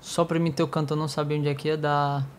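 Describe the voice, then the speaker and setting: A man's voice: a little high, little pitch movement, fast, a little hiss or hum. A man with a nasal voice and a Brazilian Portuguese accent, a worship songwriter talking casually and reflectively about how he writes songs. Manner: reflective, storytelling with quoted dialogue. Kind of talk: casual chat.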